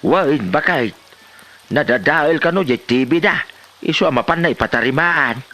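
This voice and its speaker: A man's voice: a little high, lively intonation, normal speed, pushed and bright, slight background noise. An actor playing a middle-aged, doubtful and stern father of a grown son in an Ilocano comedy drama, with an Ilocano accent.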